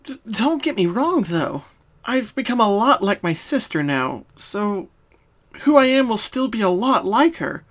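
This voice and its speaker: A woman voicing a sorrowful young female cartoon pony in a fan story, speaking softly and solemnly with hesitations. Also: mid-range voice, sing-song, voice pushed up. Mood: pained, earnest. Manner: soft, solemn, halting. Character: sad young female pony confessing her hidden identity.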